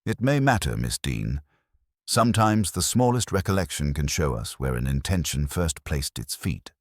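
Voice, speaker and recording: Calm male inspector read by a male narrator, extremely low voice, wry and formal, close dry sound. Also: moderate pace, dry recording, full character voice. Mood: grave.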